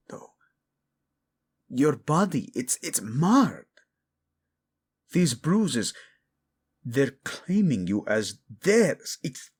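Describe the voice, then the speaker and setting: A male voice actor playing a devoted, possessive royal husband in an intimate romantic audio roleplay, with a husky low voice and formal, teasing speech. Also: heavily acted. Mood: possessive anger. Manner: slow, hushed, teasing, formal wording.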